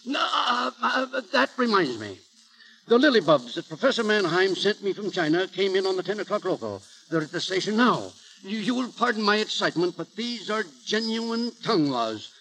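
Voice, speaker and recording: Casting: gentle, distracted older scientist dad, high-pitched, halting, pedantic, 1930s radio comedy. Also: male voice, very animated, heavily acted. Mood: fussy, excited. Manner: hesitant, fussy, scholarly. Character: doddering, absent-minded, gentle older male botanist father. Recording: noisy vintage 1930s radio broadcast.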